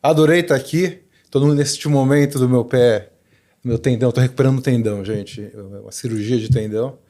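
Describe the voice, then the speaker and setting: A middle-aged man from Brazil, a podcast guest thinking aloud in relaxed interview talk with many restarts. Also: mid-range voice, fairly quick. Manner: reflective, lots of fillers and restarts. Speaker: podcast guest, learning specialist. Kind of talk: conversational interview.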